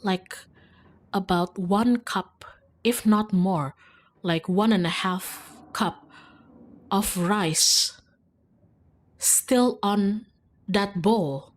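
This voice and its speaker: A woman's voice: medium pitch, very slow, pauses often. A young woman from Indonesia, streaming and telling a rambling story about share-house life, full of "like" and restarts. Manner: rambling, filler-heavy, lots of "like". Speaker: VTuber streamer. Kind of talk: casual storytelling while gaming.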